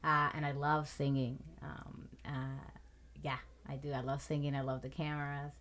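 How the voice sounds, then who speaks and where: A thirty-year-old woman from Puerto Rico, a guest in a casual interview, loud and talkative. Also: very deep voice, flat delivery, normal speed, a little hiss or hum. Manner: loud, talkative, swears and laughs often.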